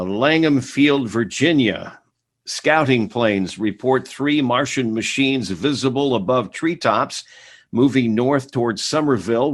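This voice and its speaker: An actor playing a male radio news announcer in a radio play, reading urgent bulletins steadily with occasional hesitant fillers. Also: medium pitch. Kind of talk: 1930s radio drama acting.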